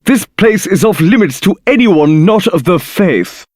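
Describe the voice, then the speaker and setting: A man's voice: sits high, animated, a little slow, a little mellow, slightly rough, no echo, exaggerated voice. A man voicing a stern but deferential religious guard in a video game, speaking formally. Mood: stern, concerned. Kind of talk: video game character acting.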